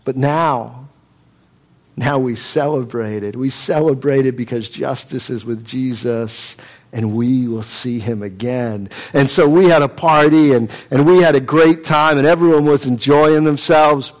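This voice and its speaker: A man performing a first-person monologue as an earnest, humble man recalling how his life changed, speaking softly and haltingly. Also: medium pitch, natural voice. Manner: earnest, soft-spoken, halting storytelling.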